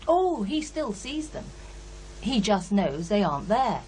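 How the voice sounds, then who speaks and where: An actress playing a candid, sceptical psychiatrist in a radio drama, with a hesitant, thoughtful way of talking. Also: female voice, medium pitch, big pitch swings, measured pace, a little mellow, a little hiss or hum, rough recording. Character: brisk, candid female psychiatrist, sceptical yet unsettled. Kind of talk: naturalistic radio drama dialogue.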